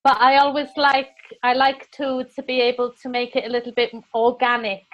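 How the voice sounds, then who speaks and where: A woman's voice: fairly high pitch, level tone, moderate pace. A woman with a Welsh accent giving an encouraging, informal online lesson as course tutor, often restarting her phrases. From Wales. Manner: warm, encouraging, with frequent restarts. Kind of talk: live online teaching session. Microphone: video call. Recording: dull lo-fi call audio.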